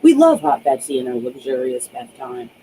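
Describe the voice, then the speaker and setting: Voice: mid-range voice, wildly swooping pitch, normal speed, warm tone, slight background noise, pitched below the performer's own voice, poor audio. An audiobook narrator voicing a frustrated, crude, lustful male stalker. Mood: baffled frustration.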